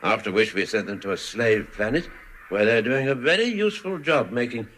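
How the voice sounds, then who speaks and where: An actor playing a lofty, aggrieved bird elder in a radio comedy drama, with sighing, drawn-out theatrical delivery. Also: male voice, medium pitch, fairly bright tone, natural voice. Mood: proud, mournful. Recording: noisy archive radio drama recording.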